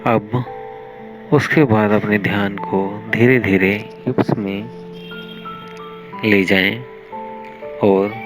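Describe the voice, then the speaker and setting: A man with an Indian accent leading a guided meditation in a measured, instructional way, pausing between short phrases. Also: lowish voice, steady tone, rough recording. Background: music.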